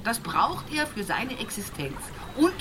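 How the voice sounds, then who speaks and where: An actress playing a devout, humorous Bavarian countrywoman looking back on her life in an intimate radio monologue, earthy and often breathy. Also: fairly high pitch, big pitch swings, a little slow, background noise. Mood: indignant, wry. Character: rural Upper Palatinate woman, devout, humorous, wistfully reminiscing. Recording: music behind the voice. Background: music.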